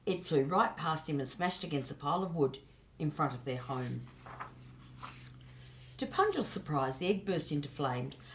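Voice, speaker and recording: A woman storyteller narrating a scripted children's tale in an expressive, deliberate manner. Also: deep voice, slight background noise.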